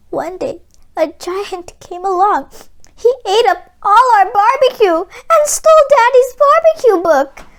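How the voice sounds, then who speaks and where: A woman's voice: very high-pitched, pitched above the performer's own voice, full character voice. A woman reading a storybook, voicing a sorrowful, emotional widowed mother. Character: tearful widowed mother of a young boy.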